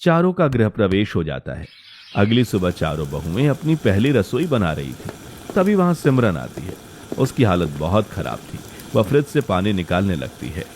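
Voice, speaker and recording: Very deep-voiced man narrating a story. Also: sing-song, normal speed, a little mellow, slight background noise.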